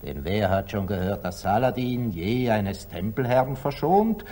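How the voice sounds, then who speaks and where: A wise, loving father from a 1940s German radio play, with a low, husky voice that swings widely in pitch. He reasons in declamatory, teasing questions, on an aged radio recording. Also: sing-song, a little slow, dark tone. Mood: earnest. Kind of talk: 1940s German radio drama stage acting.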